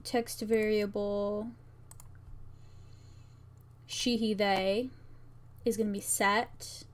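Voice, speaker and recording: A woman's voice: mid-range voice, level tone, very slow. A woman streamer talking herself through a task step by step in fragmented, muttered phrases, casually thinking aloud. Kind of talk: casual work-along narration, thinking aloud. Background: keyboard typing and clicking.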